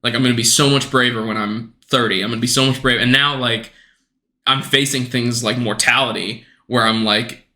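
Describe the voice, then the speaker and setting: A man's voice: medium pitch, steady tone, a little fast, bright, forceful tone. A man in his twenties talking earnestly in a casual podcast conversation, rambling with many restarts and fillers. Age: 20s. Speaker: podcast guest.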